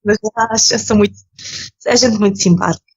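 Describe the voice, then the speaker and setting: A woman's voice: mid-range voice, fairly quick, husky. A young woman born in Ukraine and raised in Portugal, speaking as an easygoing interview guest. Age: young adult. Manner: easygoing, laughs often, some false starts. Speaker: guest, competitive swimmer. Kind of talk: casual interview answers.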